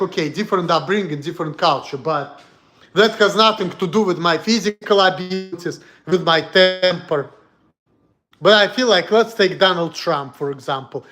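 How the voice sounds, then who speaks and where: A man's voice: high voice, fairly bright tone. A man from Russia with a Russian accent, talking bluntly and heatedly on a casual livestream in broken English. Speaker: streamer.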